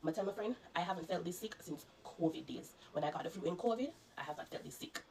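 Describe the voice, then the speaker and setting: Congested low-voiced Jamaican woman. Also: animated, fast, a little mellow, a little hiss or hum, poor audio. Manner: earnest, repetitive, warning tone. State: congested, coughing. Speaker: vlogger. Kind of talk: personal storytelling to viewers.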